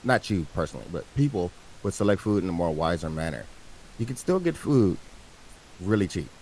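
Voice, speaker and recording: A man in a casual debate, speaking in a measured, conciliatory, somewhat hesitant way. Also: low voice, very animated, a little mellow, rough recording. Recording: hissy mic with background noise.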